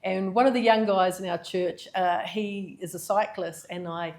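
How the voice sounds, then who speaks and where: A woman, telling stories as a guest in a relaxed interview. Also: mid-range voice, moderate pace. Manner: chatty, animated storyteller. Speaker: charity co-founder, guest. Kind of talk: casual interview storytelling.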